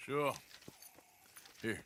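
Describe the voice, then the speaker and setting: An actor playing a tough, sceptical American outlaw who talks in a dry, terse way in a game cutscene. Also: male voice, very slow, a bit bright, slight background noise. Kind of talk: video game cutscene acting.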